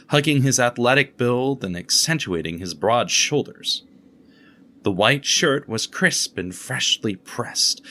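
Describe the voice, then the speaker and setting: A man narrating an audio drama with expressive, steady storytelling. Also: medium pitch. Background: guitar music.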